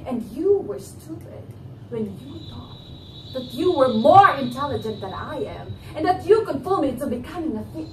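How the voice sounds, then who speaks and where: A woman's voice: high-pitched, big pitch swings, moderate pace, a little mellow, slight background noise. A young woman playing a proud, accusing woman in a stage play, declaiming emphatically. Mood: scathing. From Philippines. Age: young adult. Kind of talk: theatrical stage acting of a classic play. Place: indoor living-room stage set. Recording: roomy, distant microphone, music behind.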